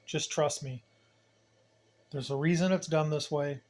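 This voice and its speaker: Slightly high-pitched man explaining casually. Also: fairly even intonation, unhurried, darkish tone. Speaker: CB radio hobbyist host giving a demonstration. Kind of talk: casual hands-on demonstration, explaining as he goes.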